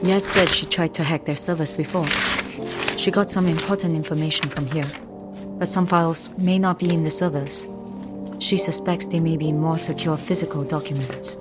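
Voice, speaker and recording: A woman playing a cool, focused young infiltrator with secret powers, narrating an audio drama in a measured, matter-of-fact way. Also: deep voice, steady tone, music in the background, natural voice. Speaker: narrator and lead character.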